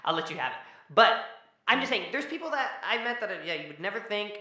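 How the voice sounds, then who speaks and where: A young man with a high voice, co-hosting a casual podcast. He sounds tired and rambles, restarting sentences and trailing off. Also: big pitch swings, quick pace, sharp tone. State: tired from working all day. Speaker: podcast co-host. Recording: echoey room.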